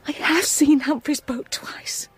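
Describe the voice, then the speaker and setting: A woman acting a frightened, haunted wife in an audio drama, speaking intensely and haltingly, often close to a whisper. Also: high-pitched, steady tone, soft background music. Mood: haunted, fearful. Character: frightened, haunted wife, fixated on a phantom boat.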